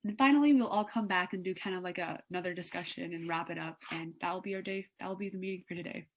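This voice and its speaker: A teenage woman leading a student team meeting over a video call, relaxed and full of fillers. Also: on the low side, quick pace, slight background noise, poor audio. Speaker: meeting leader. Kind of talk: casual online meeting.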